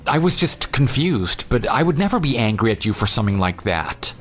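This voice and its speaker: A jilted, forgiving groom voiced by a man in an audio drama. His mid-range voice moves up and down in a lively way as he asks earnest questions, over background music. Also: medium pitch, brisk, breathy edge. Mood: concerned, understanding. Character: jilted, forgiving ex-fiance, concerned but questioning. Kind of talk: radio drama dialogue.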